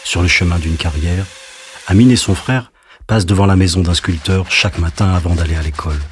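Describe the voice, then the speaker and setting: A man narrating a sombre audio drama as a storyteller, measured and solemn. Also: deep voice, a little hiss or hum, close to an everyday voice. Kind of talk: scripted literary narration. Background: music.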